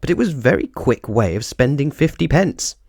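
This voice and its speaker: A man acts out a young bear from a children's storybook. He is polite and earnest, easily delighted, with courteous phrasing. The voice is raised and swings in pitch, in a dry, close recording. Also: sing-song, brisk, gravelly, darkish tone, no room sound. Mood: pleased, polite. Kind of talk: children's storybook read-aloud.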